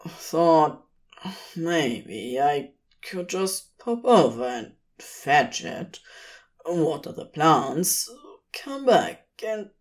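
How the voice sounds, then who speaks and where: A woman voicing a laid-back, sly yet bashful man with a raspy, sleep-rough voice and casual, slangy phrasing. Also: low voice, sing-song, extremely slow, full character voice. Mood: bashful, hesitant.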